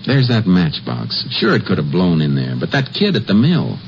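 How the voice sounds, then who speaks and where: An actor playing a steady, suspicious Texas Ranger investigator in a 1950s western radio drama, speaking in a clipped, businesslike, authoritative way. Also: male voice, low voice, moderate pace, gravelly, a little hiss or hum, full character voice. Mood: pensive, resolute. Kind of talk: 1950s western radio drama acting with first-person narration. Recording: dull old radio recording with music.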